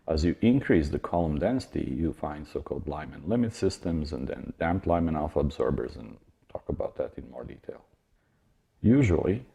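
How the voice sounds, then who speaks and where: A man with an extremely low, creaky voice delivers a university science lecture. His tone is dark and husky. He explains things in a measured, academic way, dropping in the odd informal aside.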